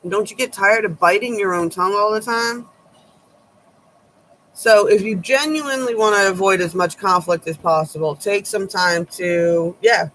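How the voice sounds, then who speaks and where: A woman tarot reader with a low voice, giving blunt, sharp-tongued advice in a casual chat to her viewers. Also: slight background noise, low-quality recording.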